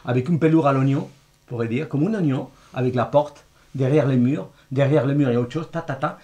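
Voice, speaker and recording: A man speaking as a guest artist in an informal interview, explaining his craft in an animated way with everyday comparisons. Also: mid-range voice, brisk.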